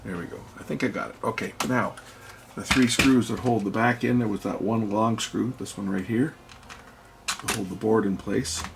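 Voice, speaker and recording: A man's voice: lowish voice, steady tone, moderate pace, gravelly, background noise. A man giving casual hands-on narration as host of an electronics repair video, half muttering to himself as he works.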